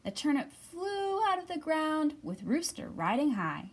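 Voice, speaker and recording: A woman narrating a children's picture book aloud with measured, emphatic, expressive phrasing. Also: sits high. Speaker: storyteller.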